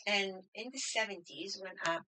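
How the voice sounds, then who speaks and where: Bright-toned Lebanese-raised woman in her sixties. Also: medium pitch, moderate pace, low-quality recording. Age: 60s. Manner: warm storytelling with frequent restarts and fillers. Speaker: guest. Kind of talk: conversational interview.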